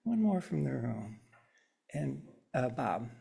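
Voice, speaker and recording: A man leading a church service, gently inviting members to the pulpit in a sanctuary, heard from across the room. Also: mid-range voice, big pitch swings, slow pace, gravelly, husky.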